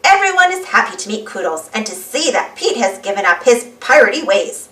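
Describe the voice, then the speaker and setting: A woman narrating a children's storybook in an animated read-aloud style. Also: mid-range voice, a little fast, recorded from a distance. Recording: roomy, voice away from microphone.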